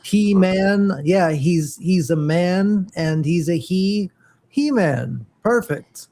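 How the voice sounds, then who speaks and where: Middle-aged male co-host, slightly high voice, eager and rambling, informal geek talk. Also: animated, takes it slowly, mellow. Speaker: co-host of a morning show. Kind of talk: casual geeky chat.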